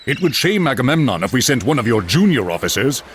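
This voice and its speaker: An actor playing an insistent, grave religious advisor in a mythological video game, urgent and portentous. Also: male voice, mid-range voice, sing-song, fast, a little hiss or hum, natural voice. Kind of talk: video game cutscene acting.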